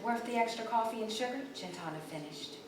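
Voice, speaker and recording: A woman giving animated storytelling in a live ensemble reading on a theater stage. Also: fairly high pitch, quick pace. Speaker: reader. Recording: echoey hall, distant mic, noisy.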